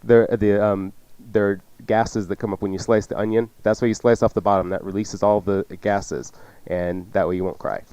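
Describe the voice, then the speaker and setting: A man's voice: lowish voice, steady tone, normal speed, dark tone, smooth. A man hosting a cooking show, chatting through recipe instructions off the cuff with joking asides. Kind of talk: casual unscripted radio cooking instruction.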